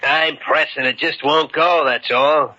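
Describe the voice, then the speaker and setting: An actor playing a gruff, sarcastic, doubting tough man in a radio mystery, scornful and slangy. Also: a little high, fairly even intonation, a little slow, sharp tone, heavily acted. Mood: grudging. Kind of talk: old-time radio mystery acting. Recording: muffled vintage radio recording.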